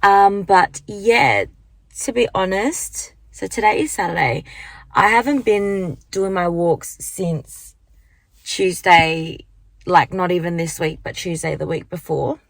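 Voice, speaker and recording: Young woman, croaky morning voice, rambling casual vlog chat into a handheld phone, dry close sound. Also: on the low side, takes it slowly, dry recording. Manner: chatty, rambling, lots of fillers. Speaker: vlogger. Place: car at the beach, then walking outdoors.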